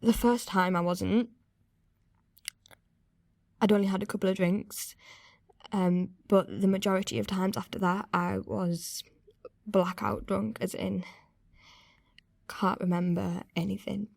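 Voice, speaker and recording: Young woman, halting, candid, uneasy, confessional radio play, mid pitch, dry sound. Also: steady tone, gravelly, a little mellow, dry recording. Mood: uneasy, reflective. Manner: hesitant, halting, candid, swearing. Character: young woman uneasily recalling an abusive first relationship. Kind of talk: naturalistic confessional monologue.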